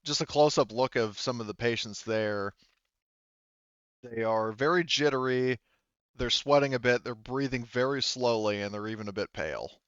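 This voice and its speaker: A man improvising narration as game master of a tabletop game, speaking in a hesitant, halting way. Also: medium pitch, fairly bright tone, clear, resonant tone, no echo, dull audio.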